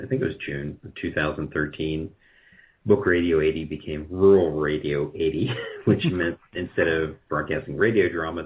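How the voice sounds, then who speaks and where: Really deep voiced guy chatting as an interview guest, kinda halting and self-deprecating, tinny phone sound. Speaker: guest, radio drama producer. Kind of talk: casual interview answers.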